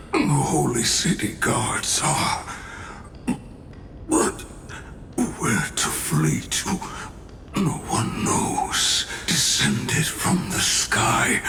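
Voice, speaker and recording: Tired proud old blacksmith from a game, super slow, croaky, pitch swings all over, weird word order. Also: male voice, background noise, full character voice. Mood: weary, strained. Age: older. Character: aged dying master smith, inverted speech, proud and weary. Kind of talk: English video game voice acting. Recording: echoey, loud music underneath. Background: loud game music.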